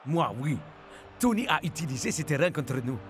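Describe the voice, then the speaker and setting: Confident young team captain. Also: male voice, a little high, moderate pace, slight background noise. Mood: determined. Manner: calm, encouraging team talk. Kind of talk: French cartoon dubbing.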